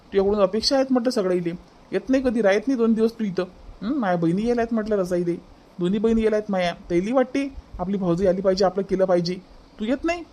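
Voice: medium pitch, darkish tone, natural voice. A performer voicing a grudging village husband who repeats himself, in an amateur cartoon family comedy, with a Varhadi Marathi accent.

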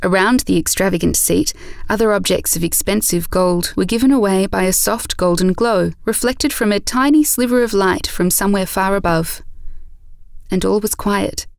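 A woman narrates a scripted story at a middle pitch. The sound is very dry, with no room around the voice. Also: normal speed, vocal fry, no echo.

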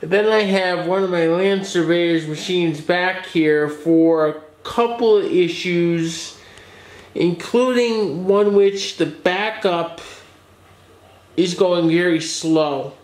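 A man's voice: sits high, slow, roomy sound. A man who runs a computer shop, giving a casual update on repairs in his workshop, explaining things plainly with small restarts. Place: small office workshop with desk, indoors.

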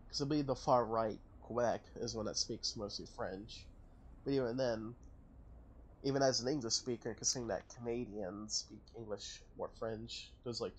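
A man's voice: medium pitch, slight background noise. A man casually commenting on his strategy game, thinking aloud and correcting himself as he goes. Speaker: gaming channel host. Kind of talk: casual let's-play commentary.

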